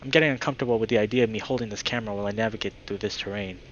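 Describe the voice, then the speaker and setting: A man's voice: on the low side, very fast, muffled recording, a little hiss or hum. A man speaking as a live streamer, moving between friendly chat and reading a story aloud. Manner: upbeat, friendly, excitable when greeting viewers.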